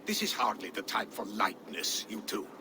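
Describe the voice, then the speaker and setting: An actor playing an older, earnest Viking healer and adviser who scolds the young lords. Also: male voice, fairly low pitch, sing-song, normal speed. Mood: grave. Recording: game cutscene with ambient noise.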